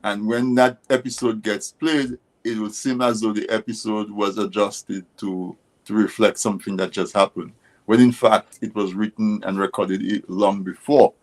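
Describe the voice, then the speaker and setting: A man hosting a conversational radio interview, speaking in halting, deliberate phrases. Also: fairly low pitch, steady tone, darkish tone. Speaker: interview show host.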